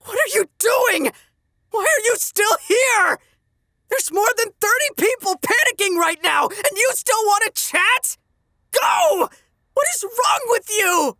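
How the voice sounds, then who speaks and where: A woman plays a teenage boy turned villain in a comic dub, bitter and scornful. The voice is high and bright, with an edge of sarcasm and intense, biting delivery. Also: animated, takes it slowly, no room sound, studio quality, heavily acted. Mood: furious. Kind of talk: fan comic dub voice acting.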